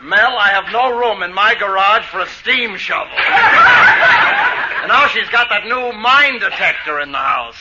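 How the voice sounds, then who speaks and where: An actor playing a henpecked, pompous middle-aged lodge president in a theatrical 1940s radio comedy style. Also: male voice, sits high, expressive, moderate pace. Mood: grumbling, weary. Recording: noisy, dull vintage radio recording.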